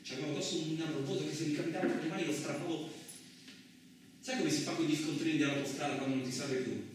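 An actor as a swaggering trucker in an echoey room. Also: male voice, fairly high pitch, steady tone, quick pace, natural voice. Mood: angry, exasperated. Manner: colloquial, muttering asides, swaggering. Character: boastful, hot-tempered, rough-talking Italian truck driver. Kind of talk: theatrical radio monologue. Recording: very echoey, distant, lightly noisy room.

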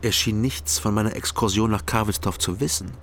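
An actor playing a male former detective who narrates his own story in a measured, dry way, in a radio drama. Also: lowish voice, slight background noise, natural voice. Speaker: first-person narrator and detective. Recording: radio play over loud music score.

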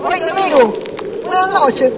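Very high-voiced bossy Chilean villager. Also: male voice, animated, measured pace, heavy background noise. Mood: wary. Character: bossy, gruff village man fleeing through fog. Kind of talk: radio drama acting. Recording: long reverb, music behind the voice.

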